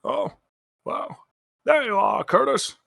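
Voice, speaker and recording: A man voicing a kindly, grandfatherly ancient eldritch god in a tabletop game, speaking haltingly. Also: very high-pitched, expressive, gravelly, sharp tone, dry recording, studio quality, voice pushed up, heavily acted. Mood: mildly surprised. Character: grandfatherly ancient eldritch deity, startled but obliging.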